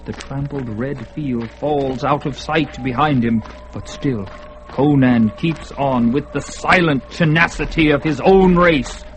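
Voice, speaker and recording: A man giving dramatic scripted narration for a radio drama, measured and intense. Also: mid-range voice, faint music behind, rough recording. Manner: dramatic, measured, rising with the action.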